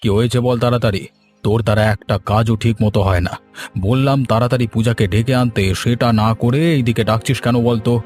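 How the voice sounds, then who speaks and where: A bossy village patriarch from a cartoon , acted by a man. He scolds and threatens his servants in an imperious manner, at an ordinary male pitch. Faint music plays underneath. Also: steady tone, brisk, darkish tone, lightly acted. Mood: impatient, scolding. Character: bossy, short-tempered, threatening village master and father. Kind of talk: cartoon voice acting.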